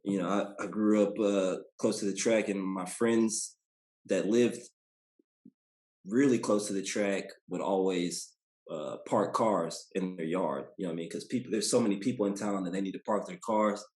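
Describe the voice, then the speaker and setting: An American man in his thirties with a low voice talks casually as a podcast guest. His manner is laid-back and colloquial. The sound is roomy and echoey. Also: moderate pace, darkish tone. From Indianapolis, United States. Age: 30s. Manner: colloquial, laid-back, often tags sentences with "you know". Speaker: podcast guest, basketball player. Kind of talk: casual interview chat.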